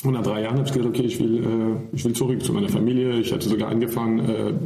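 Fast-talking French man, gravelly voice. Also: fairly low pitch, level tone, mellow, reverberant. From France, near Paris. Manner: searches for German words, laughs often. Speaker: winery co-owner. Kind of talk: casual wine podcast chat.

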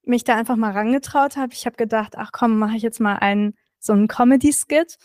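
A woman's voice: on the high side, a little fast, a little mellow, no room sound. Young woman, friendly, hesitant, filler-heavy, dry close recording. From Berlin, Germany. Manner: friendly, hesitant, lots of "ähm". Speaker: guest, content creator. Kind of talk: casual interview answers. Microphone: remote recording from another room.

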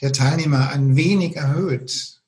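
A man hosting an interview, speaking calmly and affirming his guest. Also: on the high side, measured pace. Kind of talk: casual interview talk.

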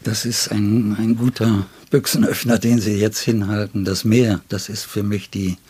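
A man in his seventies with a low, distinctly husky voice talks about literature as a guest. He searches for his phrases with care and lands on ornate, considered words. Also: flat delivery, darkish tone. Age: 70s. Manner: deliberate, halting phrasing with ornate word choices. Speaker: interview guest, poet. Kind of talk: reflective literary conversation.

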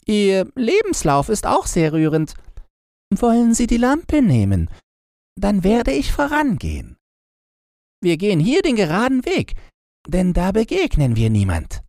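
A man performs a tame female crow from a storybook in a very high, swooping voice. She is prim and courteous, a fussy palace insider. Her manner is formal and polite. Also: huge pitch swings, a little slow, a little mellow, no echo, studio quality, full character voice. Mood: courteous, brisk.

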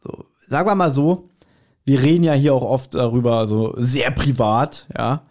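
A man's voice: medium pitch, moderate pace, a little mellow. A man with a German accent chatting casually as a podcast co-host, with a teasing, mock-scolding way of bantering.

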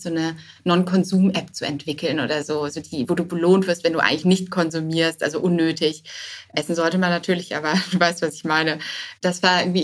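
A woman talking casually as an interview guest, informal and sprinkled with English buzzwords. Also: on the low side. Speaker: podcast guest, start-up co-founder.

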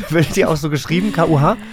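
A man's voice: a little high, fairly quick, a crowd in the background. A man hosting a casual podcast quiz, chatty and self-mocking, with frequent fillers and restarts. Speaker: podcast host. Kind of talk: casual chat, quiz game.

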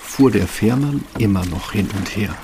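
A man's voice: lowish voice, expressive, normal speed. A man narrating a scripted fairy tale as storyteller. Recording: music underneath.

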